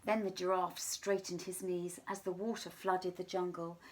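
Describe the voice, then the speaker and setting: A woman reading a children's story aloud in an expressive, deliberate storytelling manner, sometimes hushed. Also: lowish voice, noisy background. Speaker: teacher reading a picture book to children.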